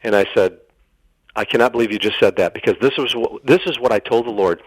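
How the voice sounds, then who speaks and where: A man's voice: medium pitch, fast, breathy edge, no echo, low-quality recording. A middle-aged man telling a personal story as a radio interview guest, earnest and dramatic, acting out the dialogue and repeating phrases for emphasis.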